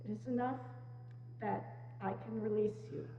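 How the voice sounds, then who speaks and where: A woman's voice: medium pitch, steady tone, normal speed, vocal fry, warm tone, natural voice. A woman playing a sorrowful, resolute young fiancée in a dramatic stage reading, recorded in a church hall. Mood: sad, resolute. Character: sorrowful, resolute young fiancée releasing her changed lover. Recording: dull, noisy, echoing church hall.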